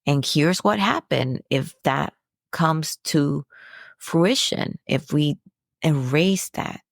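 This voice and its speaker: A woman's voice: low-pitched, animated, slow, vocal fry, no echo, studio quality. A woman from the Dominican Republic hosting a podcast, talking through a personal reflection in an earnest, preachy way with rhetorical questions. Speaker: podcast host.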